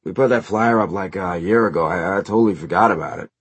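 A man's voice: low-pitched, quick pace, breathy edge. A man voicing a blunt, teasing young bandmate in an audiobook, slangy in manner. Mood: offhand, blunt.

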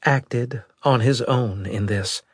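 A man's voice: mid-range voice, slow, slightly rough, no echo, studio quality, pitched above the performer's own voice. A man voicing an earnest, loyal female military brigadier in an audiobook, worried but resolute, with formal, emphatic speech. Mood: firm, defensive. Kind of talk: audiobook character dialogue.

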